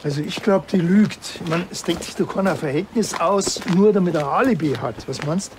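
A man's voice: high voice, a little fast, noisy background, natural voice. An actor playing a blunt, grumpy male police detective with a curt, impatient manner. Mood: exasperated.

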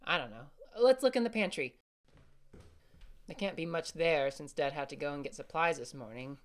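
Woman playing boy, older brother, teasing, confident, joking, lower register, audio drama acting. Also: expressive, normal speed. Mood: matter-of-fact.

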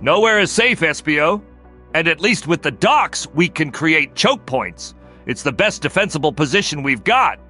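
A man voicing a brash, gruff crocodile detective boss who barks out orders in a comic dub. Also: a little high, lively intonation, normal speed, sharp tone, faint music behind. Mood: businesslike.

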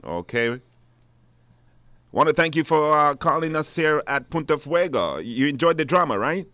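A man's voice: fairly high pitch, expressive, normal speed, pushed and bright. A man with a Belizean accent hosting a live radio call-in show, putting questions to callers. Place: radio studio.

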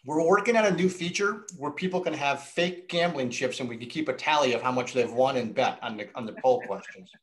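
A man's voice: medium pitch, a little fast, hardly any pauses, fairly bright tone. A middle-aged American man hosting casually and chatting over a video call. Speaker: host of a virtual wine tasting. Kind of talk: casual chat. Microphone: video call microphone.

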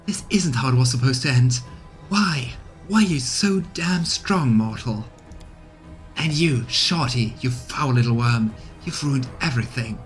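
A man's voice: mid-range voice, unhurried, soft background music, close to an everyday voice. A man voicing an anguished, furious female villain from a fantasy game, spiteful and hysterical. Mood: anguished rage. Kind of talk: voiced reading of game dialogue.

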